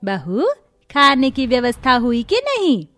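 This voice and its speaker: A greedy, fawning Indian mother-in-law from a cartoon moral tale, acted out by a woman. Her voice is high and swings widely in pitch as she fusses dramatically. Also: huge pitch swings, a little slow, a bit bright, soft background music, heavily acted. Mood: bossy. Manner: dramatic, fussing. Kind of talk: cartoon moral story acting.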